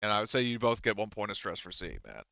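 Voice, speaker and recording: A man's voice: on the low side, sing-song, fast, vocal fry, sharp tone, no room sound, muffled recording. A man narrating a tabletop game as game master in a conversational, explanatory way.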